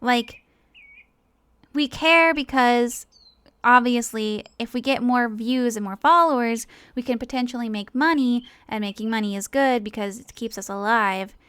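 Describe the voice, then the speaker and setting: A woman's voice: fairly high pitch, unhurried, fairly bright tone, smooth, no room sound. A woman giving a casual, rambling personal monologue as an online creator, speaking informally and earnestly, often hesitating and interrupting herself. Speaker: online animator and video creator.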